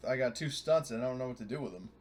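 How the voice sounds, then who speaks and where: A man's voice: medium pitch, animated, very fast, darkish tone, a little hiss or hum. A man playing a tabletop game, chatting casually and thinking aloud in a rambling, sweary way.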